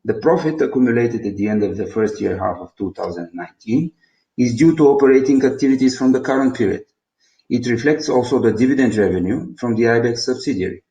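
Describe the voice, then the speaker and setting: A man's voice: medium pitch, animated, fast talker, warm tone. A man with a Bulgarian accent formally reads out a scripted financial presentation in a roomy, echoing recording. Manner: formal, reading out figures. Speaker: company presenter.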